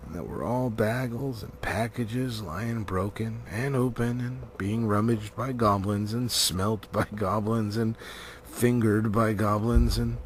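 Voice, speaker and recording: A man narrating a storybook as an amateur reader, theatrical, with drawn-out words and pauses. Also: fairly low pitch, slight background noise. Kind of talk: dramatic storybook reading.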